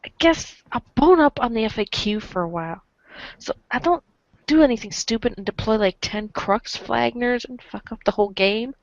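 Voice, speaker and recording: A woman's voice: on the high side, moderate pace, dry recording, dull audio, close to an everyday voice. A woman voicing a cool, cocky, foul-mouthed teenage boy, wry and rambling, in an amateur webcomic reading. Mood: sarcastic, grumbling. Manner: wry, rambling, casual swearing.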